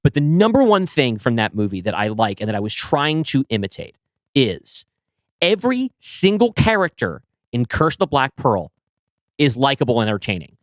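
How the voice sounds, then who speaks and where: A man's voice: medium pitch, sing-song, moderate pace, no echo. A man co-hosting a casual podcast, talking enthusiastically and swearing now and then. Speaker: podcast co-host and writer.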